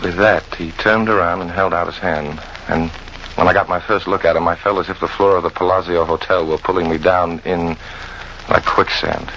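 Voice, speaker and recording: An actor playing a world-weary American jungle guide, wry and tough, in hard-boiled old radio drama style. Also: male voice, low-pitched, steady tone, moderate pace, sharp tone. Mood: stunned. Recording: muffled old radio recording, clear noise.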